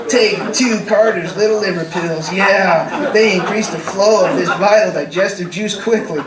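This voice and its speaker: An actor playing a goofy, laid-back dude delivering a comic radio commercial in slacker style. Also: male voice, sits high. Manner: slacker drawl, goofy amazement. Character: spaced-out laid-back dude pitching pills in a spoof ad. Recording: echoey, distant stage recording.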